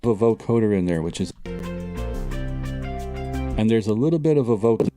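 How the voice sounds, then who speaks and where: Deep, mellow-voiced male composer, calm and explanatory, soft music behind. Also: a little slow. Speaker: composer guest. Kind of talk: interview answers, replayed in snippets. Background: music playing.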